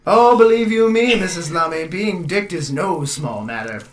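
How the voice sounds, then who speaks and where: An actor playing a pompous, earnest gentleman decorator, telling his gothic comedy tale in a theatrical, melodramatic manner. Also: high-pitched, expressive, normal speed. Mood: proud, pompous. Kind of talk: theatrical first-person gothic comedy narration.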